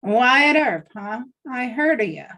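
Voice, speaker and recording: A woman's voice: on the high side, sing-song, slow pace, bright, forceful tone, recorded from a distance, exaggerated voice. A woman playing a menacing, rowdy male outlaw gang leader in a live western table read, heard from off the mic.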